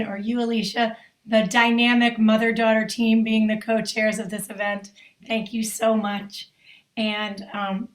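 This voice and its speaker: Hoarse woman chatting on a video call. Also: medium pitch, reverberant, low-quality recording. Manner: chatty and effusive, rambling asides. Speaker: federation volunteer leader, featured speaker. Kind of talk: off-the-cuff remarks on a video call. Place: at home. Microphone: video call mic.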